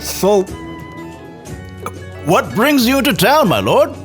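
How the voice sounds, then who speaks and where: A man playing an effusively friendly, deferential gentleman hunter in a fantasy audio drama, chatty and flowery in speech. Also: sits high, huge pitch swings, slow pace, full character voice. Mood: effusive, ingratiating. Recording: voice over background music.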